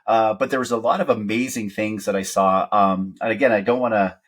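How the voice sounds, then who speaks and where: Deep, husky-voiced man podcaster. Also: fairly even intonation, fairly quick. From Canada. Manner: rambling, hesitant, lots of fillers and restarts. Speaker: podcast co-host. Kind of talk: casual podcast chat.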